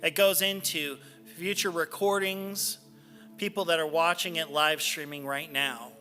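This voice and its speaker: A man speaking as a spiritual practitioner in a church sanctuary, giving devotional readings and prayer in deliberate, measured phrases with pauses. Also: on the high side, fairly even intonation, pushed and bright, soft background music.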